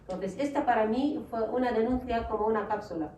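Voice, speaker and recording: A woman's voice: fairly high pitch, brisk, dark tone. A woman in her sixties from Iraq, a writer speaking on a panel with an Iraqi Arabic accent, hesitant with many fillers. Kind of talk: panel talk. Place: lecture hall. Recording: roomy far-off mic, faint noise.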